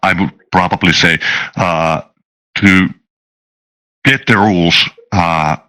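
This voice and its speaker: A man talking casually as a game designer being interviewed, halting and often restarting his words. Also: sits low, steady tone, pushed and bright. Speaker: tabletop game designer, guest.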